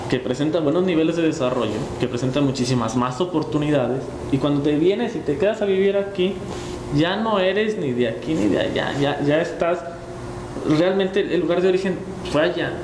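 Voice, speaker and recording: A Mexican man from Jalisco argues on a debate panel, restating his conclusions firmly. His voice sits a bit high. The room echoes, and clear background noise runs under him. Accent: Mexican Spanish. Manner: firm, repeats key points. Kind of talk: debate argument.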